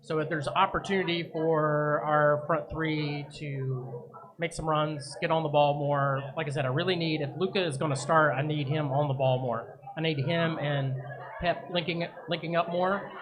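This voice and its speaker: A man co-hosting a live soccer show, talking in a relaxed, easygoing way. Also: a little high, moderate pace. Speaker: podcast co-host. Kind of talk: live casual soccer chat. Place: busy brewery. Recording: noisy live bar recording. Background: crowd chatter.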